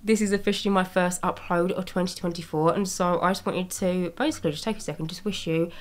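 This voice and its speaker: A young woman with a slightly raspy voice from a cold, chatting casually as she narrates her video-game building. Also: lowish voice, steady tone, fast talker. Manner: chatty, rambling, with small laughs. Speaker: Sims speed-build YouTuber. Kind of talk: casual commentary over gameplay. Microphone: voice-over recorded separately from gameplay.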